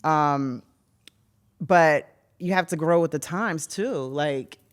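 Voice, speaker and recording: A woman's voice: low voice, slow. A woman guest on a podcast, talking casually and acting out what other people say. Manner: animated, acts out other people's words. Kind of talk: casual chat.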